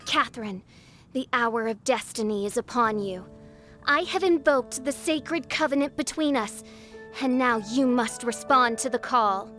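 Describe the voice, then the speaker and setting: A woman's voice: a little high, unhurried, fairly bright tone. A woman playing a theatrical, pompous teenage princess with archaic, melodramatic speech in an anime-style video game. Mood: grandiose. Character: theatrical teenage girl posing as grandiose princess. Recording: music behind the voice.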